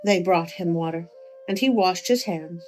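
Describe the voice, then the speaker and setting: A woman narrating a folk tale as a storyteller, with expressive delivery. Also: fairly low pitch, moderate pace, low-quality recording. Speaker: storyteller, host of a story channel. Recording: music under the voice.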